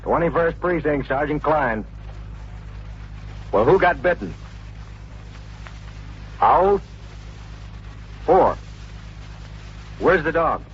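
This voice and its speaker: A man's voice: fairly high pitch, expressive, very slow, long pauses. A man playing a busy, plain-spoken police station official in a 1950s radio drama, conversational and matter-of-fact. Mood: brisk, routine. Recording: dull noisy vintage radio recording.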